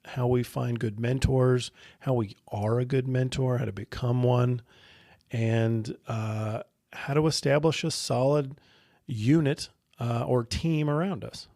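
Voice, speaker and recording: A man in his thirties co-hosting a podcast, speaking in a measured, reflective way. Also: mid-range voice, steady tone, vocal fry, dark tone, dry recording, clean studio sound. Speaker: podcast co-host. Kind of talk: casual podcast chat.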